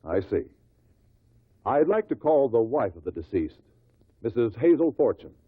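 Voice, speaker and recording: An actor playing a shrewd investigator who puts formal, insistent questions to witnesses at an inquest in a 1950s radio drama. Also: male voice, medium pitch, sing-song, normal speed, slightly rough, no room sound. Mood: probing, firm. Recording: muffled old radio recording.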